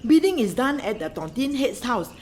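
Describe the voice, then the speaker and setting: Woman playing high-pitched, wary Singaporean neighbour. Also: big pitch swings, moderate pace, a little mellow, soft background music. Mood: startled, protesting. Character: wary, disapproving female neighbour, easily flustered. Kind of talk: radio play acting in colloquial English.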